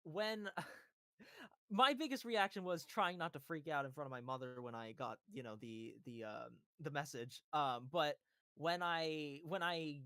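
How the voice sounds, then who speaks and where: A man's voice: on the high side, unhurried, fairly bright tone, clean tone, no echo, studio quality. A man voice actor telling a story on a casual panel, rambling with many fillers and giggles. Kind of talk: casual livestream chat.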